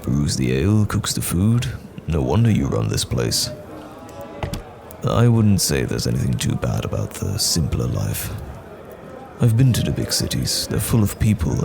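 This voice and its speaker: A man playing a courteous, earnest wandering knight in fantasy roleplay audio, speaking in a measured, formal, old-fashioned way with a gravelly voice. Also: noisy background, exaggerated voice. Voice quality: gravelly, very deep. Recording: music behind the voice.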